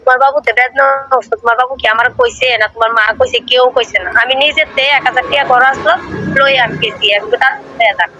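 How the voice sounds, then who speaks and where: A woman's voice: high-pitched, fairly even intonation, fast talker, pushed and bright, slight background noise, rough recording. A woman with an eastern Bengali dialect in casual domestic talk on a recorded call. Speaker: wife on a call with her husband. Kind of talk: casual domestic phone chat, arguing.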